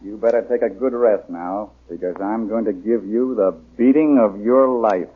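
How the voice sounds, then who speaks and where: An actor playing a courteous, assured adventurer hero in an old radio drama. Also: male voice, on the low side, moderate pace, husky. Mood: threatening. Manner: polite, assured. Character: courteous, capable male adventurer hero, calm and protective. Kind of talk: 1930s radio drama acting. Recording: tinny, noisy old radio recording.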